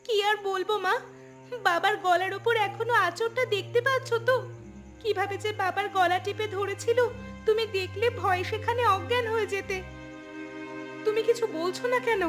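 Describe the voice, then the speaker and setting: A woman playing an excitable young city-educated daughter in a cartoon. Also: very high voice, normal speed, soft background music. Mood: alarmed, urgent. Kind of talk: Bengali cartoon voice acting.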